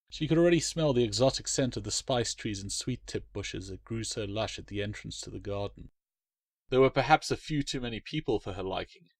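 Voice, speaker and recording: A man reading his own short story aloud in a measured, expressive way. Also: lowish voice, gravelly, no echo, studio quality. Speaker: author and narrator. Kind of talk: storybook narration.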